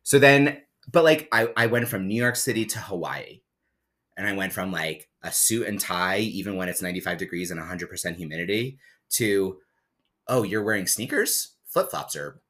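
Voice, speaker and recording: Low-voiced guy from new jersey, 30s, bright tone, laid-back podcast chat. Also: normal speed. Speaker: podcast co-host. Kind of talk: casual chat.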